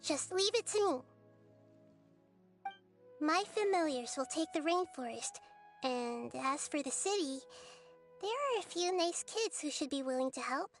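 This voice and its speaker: Game role: a tiny girl goddess, extremely high voice, kindly and earnest, soft reassuring delivery, faint music underneath. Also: animated, a little slow, long pauses. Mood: gentle reassurance. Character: gentle, caring little girl deity of wisdom. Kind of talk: video game voice acting.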